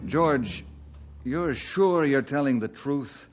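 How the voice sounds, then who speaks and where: A man playing a steadfast, fair-minded ranger in an old-time radio western, speaking earnestly and deliberately. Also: medium pitch, expressive, over music. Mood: grave, reassuring.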